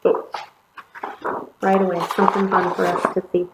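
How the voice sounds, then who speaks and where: A woman teacher reading a picture book aloud in an animated, chatty way, with casual asides about the pictures. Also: on the low side, normal speed, roomy sound, very noisy. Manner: expressive, chatty commenting on the pictures.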